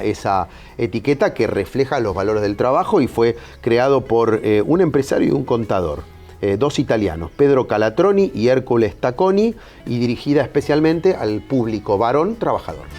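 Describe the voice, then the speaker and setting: A man, telling drink history in an animated conversational way and checking for agreement. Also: mid-range voice. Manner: animated storytelling, seeking agreement with tag questions. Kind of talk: conversational food history explanation.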